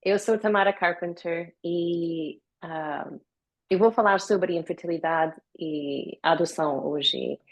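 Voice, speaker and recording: A woman from the United States gives a live online talk in a language she learned later, pausing often with many fillers. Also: fairly low pitch, rough recording. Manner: hesitant, with many fillers and pauses. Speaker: guest speaker. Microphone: video call.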